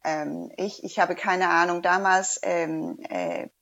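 A woman's voice: fairly low pitch, very animated, slow pace, creaky, fairly bright tone, dull audio. Hesitant Danish woman speaking German. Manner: hesitant, many fillers and restarts, non-native grammar. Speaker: guest, diving instructor. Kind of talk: casual interview chat.

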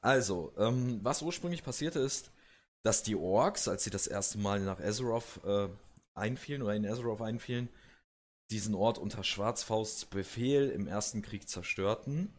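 Lower-voiced man with a cold explaining lore. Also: normal speed. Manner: hesitant, many fillers and restarts. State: has a cold. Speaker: video game lore host.

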